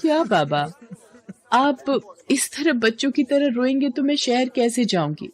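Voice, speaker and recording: A woman's voice: high-pitched, very animated, a little slow, pitched above the performer's own voice. A woman voicing a spirited, emotional young female student from a village in a dramatised audio story. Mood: tender, pleading.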